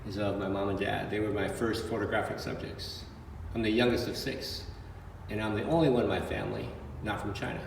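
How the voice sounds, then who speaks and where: A man in his sixties with an American Midwestern accent, giving a prepared keynote talk as a photographer in measured, deliberate phrases. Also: lowish voice, steady tone, vocal fry. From Minnesota, United States. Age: 60s. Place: indoor gallery, recorded on location. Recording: echoey gallery room with faint background noise.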